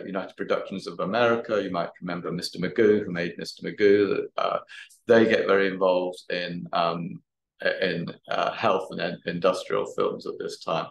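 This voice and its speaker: A man giving an academic talk and answering questions, speaking haltingly and often repeating words. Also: deep voice, gravelly, a bit bright. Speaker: guest lecturer. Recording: roomy, echoey sound.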